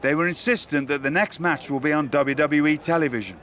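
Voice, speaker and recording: An actor playing a dry-witted wrestling tour organizer with a formal, wry way of speaking, in a video game cutscene. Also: male voice, a little high, brisk, a bit bright, slight background noise, lightly acted.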